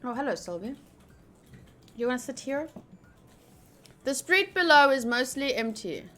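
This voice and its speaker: A woman's voice: sits high, sing-song, measured pace, fairly bright tone. A woman streaming a game, reading its text aloud and chatting casually with playful asides.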